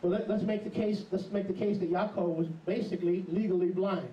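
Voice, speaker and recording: A middle-aged man preaching an animated, emphatic sermon into a handheld mic in a small congregation hall. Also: sits high, a little fast, highs cut off, a little hiss or hum. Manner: animated, emphatic, jumps into mocking voices. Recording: echoey hall, camera far off.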